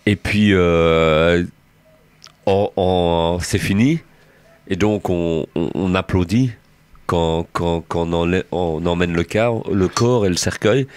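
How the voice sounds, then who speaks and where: Man, very low voice, halting, repeats words, casual band interview. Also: clean tone, no room sound. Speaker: band singer and lyricist.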